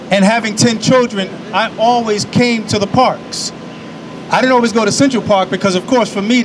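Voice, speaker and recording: A middle-aged man from New York giving a preacherly, emphatic speech at an outdoor podium microphone, repeating his key phrases. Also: high voice. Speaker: invited speaker. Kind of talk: speech at an outdoor public event. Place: outdoor park lawn by a city building. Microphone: podium microphone on a stand. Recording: outdoor traffic noise. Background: city traffic and street ambience.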